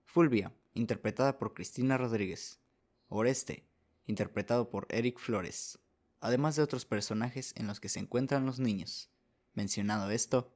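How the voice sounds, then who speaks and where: A man narrating a short story as a scripted radio drama, reading as the narrator. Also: mid-range voice.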